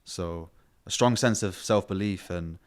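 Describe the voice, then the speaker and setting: Man, very low voice, casual podcast co-host, short supportive remarks. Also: unhurried. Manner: supportive, brief interjections.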